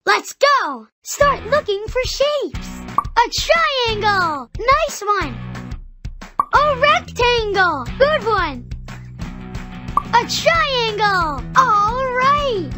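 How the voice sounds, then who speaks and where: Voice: extremely high pitch, sing-song, drawn-out words, music in the background, exaggerated voice. A performer playing a cheerful little boy builder who guides a children's cartoon game with upbeat, simple encouragement. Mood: upbeat, encouraging.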